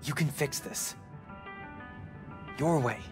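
A man's voice: fairly high pitch, slow pace, music in the background. A young man playing an earnest, supportive teenage best friend in a naturalistic video game cutscene. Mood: encouraging.

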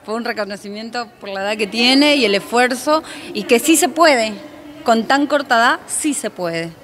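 A woman's voice: on the high side, expressive, normal speed, a bit bright. An Argentine woman in her thirties, a hairdresser and proud mother, talking at length in an interview at an indoor event. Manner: proud, talkative storytelling. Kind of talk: interview answers. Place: indoor school hall.

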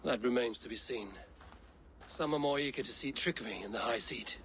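A man playing an earnest, deliberate Viking warrior leader in a dramatic video game. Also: mid-range voice, a bit bright, a little hiss or hum, close to an everyday voice. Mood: measured, thoughtful.

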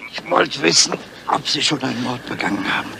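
An actor playing a crafty, weary, grumpy police inspector in a radio crime drama, speaking in a dry, measured, deliberate way. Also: male voice, medium pitch, lively intonation, gravelly. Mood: calm, probing. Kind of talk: 1970s German crime radio drama acting. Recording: noisy, dull old radio drama recording.

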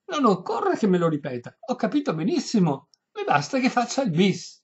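A narrator voicing a deaf old noblewoman in a comic story, eager, loud and stubbornly oblivious. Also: medium pitch, wildly swooping pitch, normal speed, breathy edge, lo-fi sound, pitched above the performer's own voice, exaggerated voice. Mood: cheerful insistence. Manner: loud, insistent, uncomprehending.

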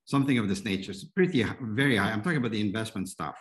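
A man in his fifties, raised in Egypt, speaks over a video call as a panel guest. His voice is lowish, and he talks haltingly, circling back and restarting as he works through his answers. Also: animated. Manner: halting, with many restarts and fillers. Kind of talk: answering questions in an online panel. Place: indoor room at home.

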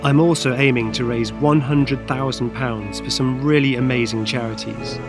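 An upbeat, earnest man in his forties talks through a crowdfunding appeal with steady enthusiasm. His voice sits at an ordinary middle pitch, and clear music plays underneath. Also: moderate pace. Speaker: long-distance cyclist raising funds. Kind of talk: crowdfunding appeal, mix of voice-over and to-camera talk. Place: outdoors in a city park.

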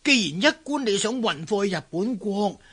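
An actor playing a friendly, worldly trader who gives shrewd tips in a coaxing, explanatory way in a radio drama. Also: male voice, sits high, animated, normal speed, a bit bright, husky, exaggerated voice. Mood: helpful. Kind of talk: Cantonese radio drama acting.

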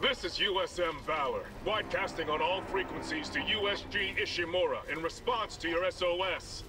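A formal male military commander with a high voice, played by an actor. He reads an official broadcast from his ship over a distant, noisy radio channel. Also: normal speed, lightly acted. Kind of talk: formal radio announcement, game acting.

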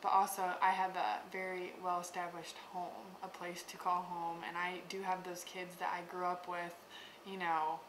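A woman's voice: on the low side, flat delivery, normal speed, fairly bright tone. A woman talking in a chatty, upbeat way in a documentary interview. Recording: echoey room with noise.

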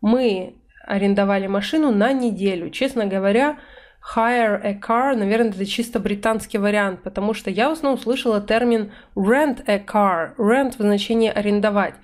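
A woman in her thirties giving an online vocabulary lesson, talking friendly and steady. She slows right down to read the example phrases aloud, then explains them at a natural pace. Her voice sits at an ordinary middle pitch for a woman. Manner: explains in Russian, reads English phrases slowly. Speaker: English teacher. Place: indoor room, webcam in corner of slides.

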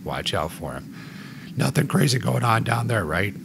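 A man in his forties with a gravelly voice, hosting a joking podcast chat. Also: lowish voice, very animated, normal speed, crowd noise. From United States. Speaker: podcast host.

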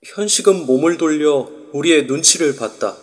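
A man's voice: fairly high pitch, moderate pace, lightly acted. A man narrating as a wry young man. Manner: wry, musing. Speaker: narrator and lead. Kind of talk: first-person inner narration.

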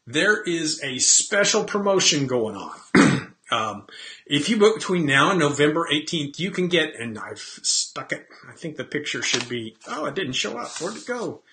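A man in his fifties hosting a casual, rambling webcam chat from his home office, often clearing his throat. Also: fairly high pitch, sharp tone. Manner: chatty, rambling, self-deprecating, frequent throat clearing. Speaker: host. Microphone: webcam at desk.

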